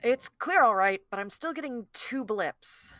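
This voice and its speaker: A woman plays an uneasy female crew member on a spaceship in a sci-fi script reading. Her voice is sharp and bright, hesitant and puzzled, recorded very dry. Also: fairly high pitch, lively intonation, unhurried, no echo. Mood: puzzled, anxious. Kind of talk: scripted sci-fi table read.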